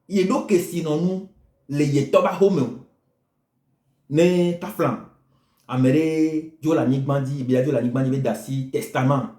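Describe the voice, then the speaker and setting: A man's voice: on the high side, mellow. A man delivering a sermon, emphatic and repetitive in his phrasing. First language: Ewe. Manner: slow, emphatic, repeating phrases. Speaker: preacher. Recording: echoey room.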